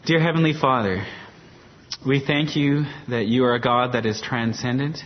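Pastor, middle-pitched man, calm measured prayer, dull sound with faint hiss. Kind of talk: introduction and prayer.

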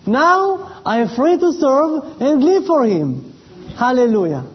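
Slow-speaking Israeli man, high voice. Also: big pitch swings. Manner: slow, deliberate, with pauses and fillers. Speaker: missionary. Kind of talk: church presentation. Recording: dull lo-fi sound.

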